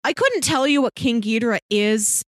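A woman's voice: fairly high pitch, animated, moderate pace, no room sound, clean studio sound. A woman talking casually and conversationally as a podcast co-host.

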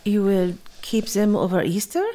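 A woman's voice: mid-range voice, steady tone, normal speed, warm tone, close to an everyday voice. An actress playing a subdued, cautious estranged mother in an audio drama, with a restrained manner. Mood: uneasy.

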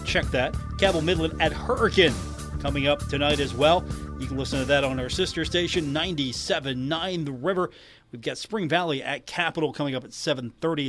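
A man's voice: fairly high pitch, fairly bright tone. A man hosting a live sports radio show in a casual announcing style.

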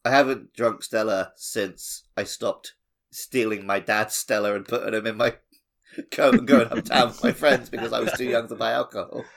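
Jokey, gravelly-voiced man chatting. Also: on the low side, steady tone, normal speed, fairly bright tone. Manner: jokey, self-deprecating, anecdotal. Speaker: podcast co-host. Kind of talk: casual chat.

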